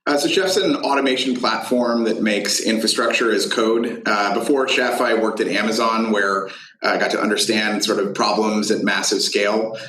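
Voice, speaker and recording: Man, fairly low pitch, hesitant phrasing, interview explanation, echoey call recording. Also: fairly even intonation, normal speed, sharp tone. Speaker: tech company founder, interview guest. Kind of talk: interview explaining his business.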